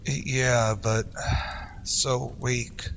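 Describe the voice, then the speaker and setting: A man's voice: mid-range voice, level tone, very slow, vocal fry, fairly bright tone, a little hiss or hum, heavily acted. A man playing a gritty, loyal, wounded Texas Ranger in a radio western. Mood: weak, dazed.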